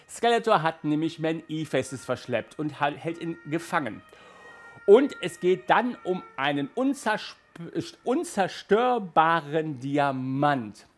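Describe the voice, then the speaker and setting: A man in his thirties hosting a casual video review of an audio drama, lively and gesturing, with frequent stumbles and restarts, hot and flustered by the heat, recorded in a small room on a headset mic. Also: fairly high pitch, creaky. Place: small indoor room with toy shelves.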